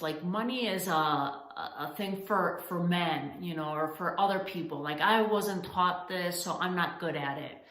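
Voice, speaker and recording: A woman from Spain talks straight to camera in an earnest, encouraging way, with a fairly low voice for a woman. Her sentences are full of small restarts and fillers as she works out what to say. The room around her is echoey. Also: a bit bright. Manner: earnest, encouraging, addresses women as ladies. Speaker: founder of a coaching channel. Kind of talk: direct-to-camera advice talk.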